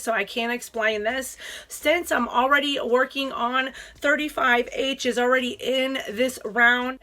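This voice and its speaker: Raspy, stuffy-nosed woman with a bright voice. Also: a little high. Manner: chatty, apologetic, laughs often. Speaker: beauty vlogger. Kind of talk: casual makeup chat to camera.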